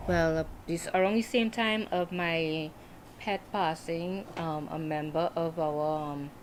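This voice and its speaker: A woman in her forties talking casually on a livestream, reflective and hesitant, with many pauses and fillers. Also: sits low, steady tone, slight background noise. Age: 40s. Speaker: writer hosting a livestream.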